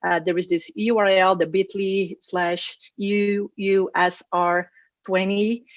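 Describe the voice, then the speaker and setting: A woman's voice: mid-range voice, no room sound, dull audio. A woman from Brazil with a Brazilian Portuguese accent gives an informal conference presentation in a conversational, somewhat hesitant way. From Rio de Janeiro, Brazil. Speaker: conference speaker, data science manager.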